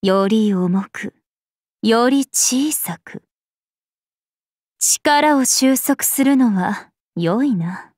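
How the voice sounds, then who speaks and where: Aloof regal anime game spirit lady, ancient n imperious, talks slow, dry studio-like sound. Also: on the high side, animated, darkish tone, no room sound, full character voice. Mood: calm, appraising. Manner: stately, imperious, archaic phrasing. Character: regal, aloof ancient female planet spirit, calm and haughty. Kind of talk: anime game character voice lines.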